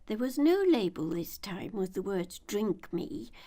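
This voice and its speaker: An older woman reading a children's story aloud in a measured, deliberate, expressive storytelling manner. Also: medium pitch. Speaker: children's audiobook reader.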